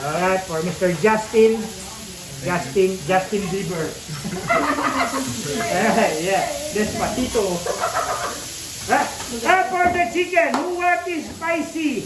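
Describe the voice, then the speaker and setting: A man's voice: sits high, expressive, slow pace, pauses often, very noisy. A man from the Philippines, a teppanyaki chef keeping up playful, self-laughing jokes as he cooks. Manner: playful, jokey, laughs at himself. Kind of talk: joking patter while cooking tableside. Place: busy teppanyaki restaurant on a cruise ship. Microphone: camera held by a diner across the grill. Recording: echoey, distant, loud crowd. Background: diners chatting and food sizzling.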